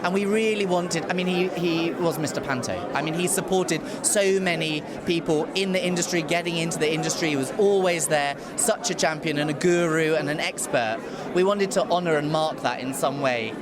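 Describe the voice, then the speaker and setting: Man, slightly high pitch, effusive interviewee, emphatic listing, loud crowd chatter behind. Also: a little fast. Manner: effusive, piling up emphatic lists. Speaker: industry association chairman being interviewed. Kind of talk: enthusiastic interview talk. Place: theatre venue during red carpet arrivals.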